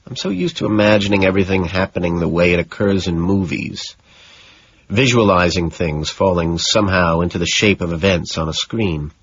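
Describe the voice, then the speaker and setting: A man's voice: deep voice, lively intonation, moderate pace, slight background noise. A man narrating a novel in the first person as a scripted audiobook. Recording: dull, echoey room sound.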